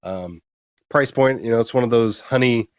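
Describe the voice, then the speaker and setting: A man's voice: medium pitch, normal speed, clean tone, no echo, clean studio sound. A man co-hosting a casual gaming news discussion, talking in a colloquial, opinionated way. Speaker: co-host of a gaming news show.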